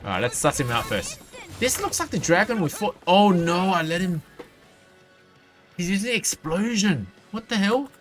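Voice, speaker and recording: A man's voice: sits high, very animated, normal speed. A man doing casual, playful live video game commentary, talking to himself and his viewers. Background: video game music.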